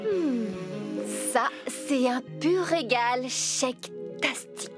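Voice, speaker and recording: Gushing cartoon gourmet, high voice, flowery, delighted, background music. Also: female voice, drawn-out words. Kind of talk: French-dubbed children's cartoon acting. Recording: music behind the voice.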